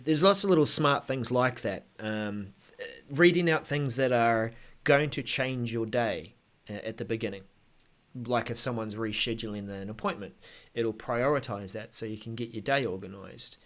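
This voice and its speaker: A man co-hosting a tech show in a casual, halting way, thinking aloud as he explains updates. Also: medium pitch. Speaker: show host.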